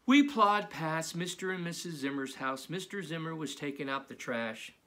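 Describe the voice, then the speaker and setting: A man reading aloud as a children's author, in an animated storybook style. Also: a little high, normal speed.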